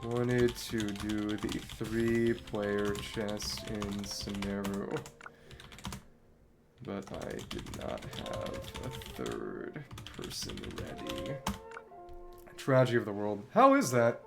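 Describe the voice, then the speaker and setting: Slow-paced, expressive game streamer, wry. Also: medium pitch, gravelly, music in the background. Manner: wry, thinking aloud. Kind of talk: casual livestream chat over gameplay. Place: indoors at a computer.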